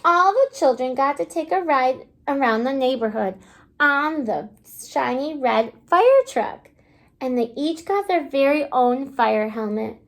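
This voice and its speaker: A woman kindergarten teacher reading a storybook aloud to young children in an exaggerated, child-directed way, pausing to ask simple questions. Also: high voice, lively intonation. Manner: asks simple questions, pausing as if listeners answer.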